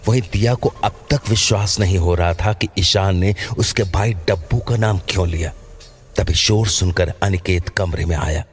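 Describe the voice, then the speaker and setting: A man serves as narrator of a horror audio drama. His voice is deep and rough-edged, telling the scripted story over soft music. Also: moderate pace.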